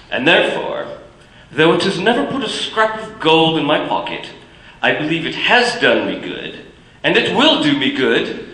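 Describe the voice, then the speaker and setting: An actor playing a warm-hearted young nephew who speaks earnestly and pleads kindly, in a live stage radio play. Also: male voice, a little high. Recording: echoey theater stage.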